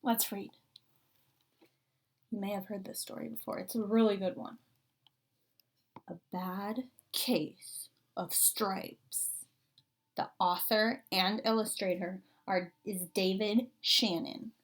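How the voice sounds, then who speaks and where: A woman's voice: medium pitch, steady tone, slow pace, pauses often. A woman reading a picture book aloud like a teacher, expressively, drawing out and stressing key words. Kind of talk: expressive children's read-aloud.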